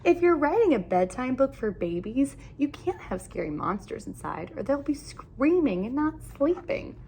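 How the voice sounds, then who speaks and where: A woman reading a children's picture book aloud in an animated, expressive storytelling manner with emphatic phrasing. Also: sits high, normal speed, vocal fry, a little hiss or hum.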